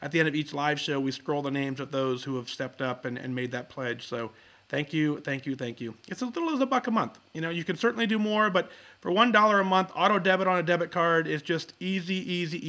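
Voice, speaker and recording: Bright-voiced upbeat male podcast host. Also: fairly high pitch, lively intonation, brisk. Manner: upbeat and encouraging, with frequent filler words and restarts. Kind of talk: casual live-show chat and announcements.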